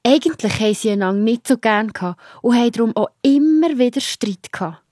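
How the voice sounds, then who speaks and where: A woman's voice: on the high side, animated, brisk, a little mellow, no echo, clean studio sound. A woman with a Swiss German accent narrating a scripted story for children, speaking directly to the listener.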